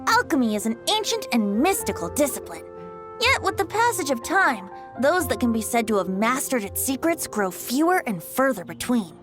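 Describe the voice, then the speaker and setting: A playful young male bard, voiced by a woman, speaks in a high, lilting voice that swoops up and down. His airy, theatrical delivery has a teasing edge. Game music plays underneath. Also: sing-song, moderate pace. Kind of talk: video game dialogue.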